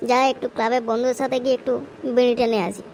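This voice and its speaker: A woman's voice: on the high side, flat delivery, very fast, mellow, slight background noise, close to an everyday voice. A woman voicing a cheeky, idle village son in an amateur comedy cartoon, wheedling and whining in a West Bengal accent. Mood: demanding. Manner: whiny, cajoling, casually cursing.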